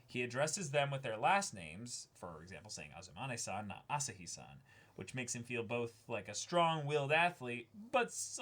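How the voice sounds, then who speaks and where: A man's voice: medium pitch, fairly bright tone, breathy edge. A young man co-hosting a show, reading fan letters aloud and breaking off with enthusiastic, chatty asides. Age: young adult. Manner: enthusiastic, interjects reactions while reading. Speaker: co-host of a reaction and unboxing show. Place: small indoor room, seated beside co-host.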